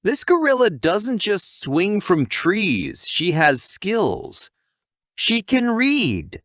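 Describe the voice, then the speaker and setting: Man narrating with animated, swooping pitch. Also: very slow, no echo, clean studio sound. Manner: wisecracking, conversational asides to the listener. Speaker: narrator of an anime recap. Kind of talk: scripted comic story recap narration.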